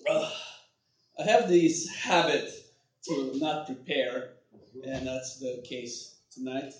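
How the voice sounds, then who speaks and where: A man telling a comic story on stage, deadpan and wandering, interrupting himself as he goes. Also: medium pitch, slow pace. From Bulgaria. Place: stage at a live show. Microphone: phone recording from the audience. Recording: distant echoey phone recording.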